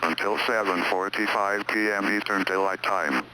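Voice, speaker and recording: A man's voice: lowish voice, steady tone, normal speed, pushed and bright. A synthetic male text-to-speech voice reading a formal scripted weather warning as an emergency announcer. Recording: noisy radio alert broadcast.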